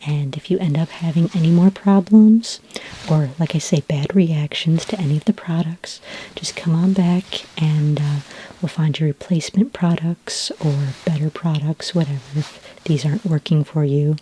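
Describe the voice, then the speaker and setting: A woman playing a calm, helpful sci-fi skin care consultant in a soft-spoken ASMR roleplay, gentle and reassuring, often close to a whisper. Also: deep voice, normal speed, a little hiss or hum, lightly acted, poor audio. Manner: gentle, reassuring, hesitant and informal explaining.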